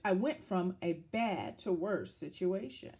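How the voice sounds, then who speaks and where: A woman reading a children's picture book aloud in a dramatic, deliberate storytelling manner, as if to young listeners. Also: lowish voice, lively intonation, darkish tone, slight background noise.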